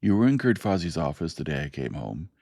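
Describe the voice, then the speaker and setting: A man's voice: extremely low pitch, sing-song, a little fast, gravelly, darkish tone, dry recording, clean studio sound, exaggerated voice. A man voicing an earnest young man defending himself. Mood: defensive. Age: young adult.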